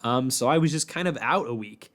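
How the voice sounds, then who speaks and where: A man's voice: medium pitch, expressive, fairly quick, a bit bright. Guy hosting a podcast, chatty and informal, puts some bounce on his words. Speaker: podcast host. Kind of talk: casual podcast talk.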